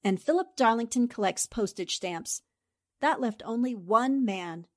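A woman's voice: on the high side, lively intonation, measured pace. A woman playing a shrewd, confident newspaper reporter and amateur sleuth, theatrical and assertive in 1940s radio drama style. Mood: confident, triumphant.

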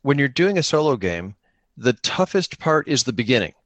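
A man who podcasts about solo tabletop gaming, chatting casually and explaining in an animated way, repeating phrases for emphasis. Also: mid-range voice, moderate pace, no room sound.

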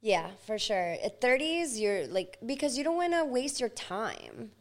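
A woman in her thirties, a podcast guest, speaking reflectively and at times slowly as she thinks aloud. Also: fairly high pitch, sing-song. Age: 30s. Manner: reflective, slow and thoughtful at times. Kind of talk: casual interview chat.

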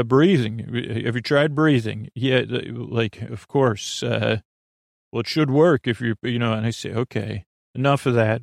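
A man with a medium-pitched, creaky voice hosts a sleep podcast. He rambles without a script, halting, repeating words and drifting away from his point. The recording is dry, with very little room sound. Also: no echo. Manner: meandering, halting, repeats and trails off mid-thought. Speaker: podcast host.

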